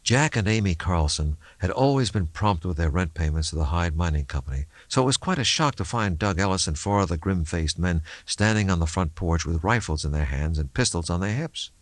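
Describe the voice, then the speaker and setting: A man's voice: deep voice, expressive, fairly quick, hardly any pauses, no room sound. A man reading a suspense novel as an audiobook narrator, in dramatic scripted narration.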